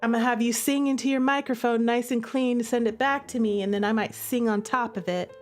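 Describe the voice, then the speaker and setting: High-voiced, playful woman streamer. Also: a little fast. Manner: playful, animated, chatty, addressing viewers directly. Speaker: streamer and visual novel creator hosting a playthrough. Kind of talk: casual livestream chat and game commentary. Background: music playing behind the voice.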